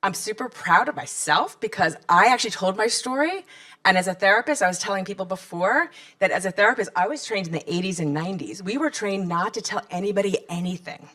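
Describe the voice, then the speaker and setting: Woman, 50s, event founder wrapping up a panel; candid, chatty, mid-pitched voice. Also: animated, brisk, fairly bright tone. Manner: candid, chatty, rallying the audience with questions. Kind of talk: closing remarks to a live audience.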